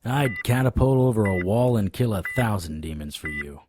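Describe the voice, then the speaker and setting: An actor playing a weary, lovestruck occult investigator who narrates his own case in a wry, measured way for an audio drama. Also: lowish voice, lively intonation, background noise, natural voice. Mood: tender, wry. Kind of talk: first-person noir audio drama narration and dialogue. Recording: music under the voice.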